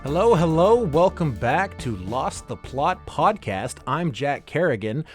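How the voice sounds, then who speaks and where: A man's voice: a little high, big pitch swings, unhurried, soft background music. A man hosting a podcast alone in a casual, loose, rambling monologue with a playful, self-deprecating manner. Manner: rambling, loose, tangent-prone. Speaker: podcast host.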